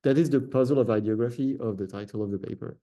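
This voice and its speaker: French-accented man giving a lecture, dark voice. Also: medium pitch, quick pace. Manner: frequent "uh" fillers and self-corrections. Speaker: researcher giving a talk. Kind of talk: academic lecture.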